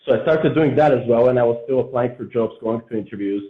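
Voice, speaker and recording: A man's voice: medium pitch, fast. A Bulgarian-born man, a photographer, giving long rambling interview answers full of fillers. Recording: echoey, tinny phone-like sound.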